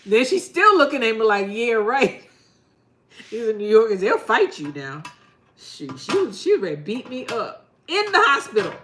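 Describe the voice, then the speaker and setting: A woman's voice: fairly high pitch, big pitch swings, measured pace, pauses often, a bit bright, slight background noise. A middle-aged woman from New York chatting casually to her gardening channel, rambling and laughing often. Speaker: gardening vlogger. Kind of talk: casual chat to camera.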